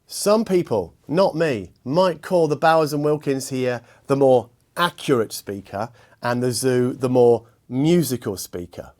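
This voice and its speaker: Measured man presenting an audio review. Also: medium pitch, a little mellow. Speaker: host and audio equipment reviewer. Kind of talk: spoken product review to viewers.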